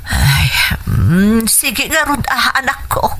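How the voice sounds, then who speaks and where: A woman's voice: fairly high pitch, big pitch swings, slow, fairly bright tone, heavily acted. A woman playing a worried, tender middle-aged mother in a radio drama, with an Ilocano Filipino accent. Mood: resigned, concerned. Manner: tender, concerned. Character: worried, tender widowed mother of a grown son.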